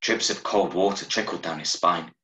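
A man in his thirties reading a short story aloud in a measured, dramatic way, recorded at home over a video call. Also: deep voice, bright, forceful tone. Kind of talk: expressive audiobook-style story narration. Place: small room at home, indoors. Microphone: video call from a desk, wearing earphones. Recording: roomy, echoing home webcam recording.

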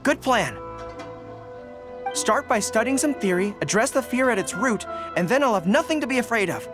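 A woman's voice: a little high, lively intonation, moderate pace, natural voice. A woman voicing an earnest, easily flustered young male dog-eared general in a video game, with a boyish manner. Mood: earnest, determined. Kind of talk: video game character dialogue. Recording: music under the voice. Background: game background music.

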